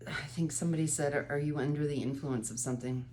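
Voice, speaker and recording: A woman's voice: sits low, fairly even intonation, quick pace, warm tone, slight background noise. A woman in her thirties with a stuffy, congested nose, candidly rambling through a late-night vlog from her bedroom, sometimes swearing. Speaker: vlogger.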